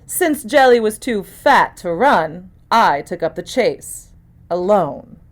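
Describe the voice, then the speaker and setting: A woman's voice: mid-range voice, very animated, slow. A woman reads a first-person mystery story in a mid-range voice. It is scripted audiobook narration, delivered by an actor as storyteller. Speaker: actor reading an audiobook chapter.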